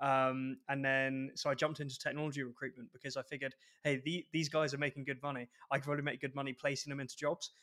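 A man's voice: medium pitch, little pitch movement, quick pace, few pauses, pushed and bright. A man hosting a podcast, talking in a chatty way and laughing easily. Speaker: podcast host. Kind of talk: casual podcast interview.

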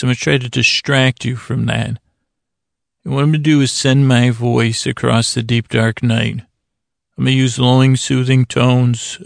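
A man hosting a sleep podcast in a gentle, soothing way, with halting, fumbling, meandering monologue that keeps interrupting itself. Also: medium pitch, vocal fry, dry recording, studio quality. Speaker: podcast host. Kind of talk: rambling bedtime monologue to lull listeners to sleep.

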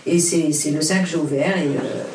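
A woman's voice: sits low, steady tone, brisk, darkish tone, slight background noise. Woman, roomy distant sound. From Portugal. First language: Portuguese. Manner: hesitant, many fillers and restarts, earnest. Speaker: author, interview guest. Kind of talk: casual interview chat.

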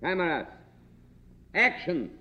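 An actor playing a devoted, solemn butler who acts as a commanding film director in a 1950s radio drama. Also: male voice, on the high side, very animated. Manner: commanding, deliberate. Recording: dull vintage radio recording.

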